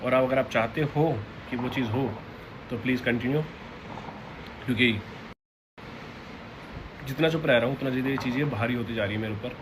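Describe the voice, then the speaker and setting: A man addressing followers informally and unscripted, halting and repeating his phrases, in a noisy recording. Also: mid-range voice. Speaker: online content creator. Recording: clearly noisy ambient background.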